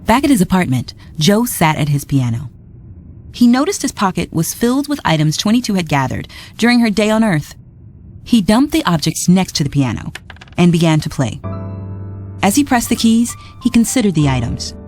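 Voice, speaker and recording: A woman reads a storybook aloud with a lower, lively voice that rises and falls as she tells it. Clear music plays steadily behind her narration. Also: moderate pace. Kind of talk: scripted read-along narration.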